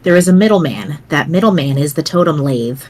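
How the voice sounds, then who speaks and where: A woman voicing a pedantic, precise young explainer in a dramatic reading, formal, wordy and measured. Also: low voice, lively intonation, darkish tone, husky. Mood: dry, instructive.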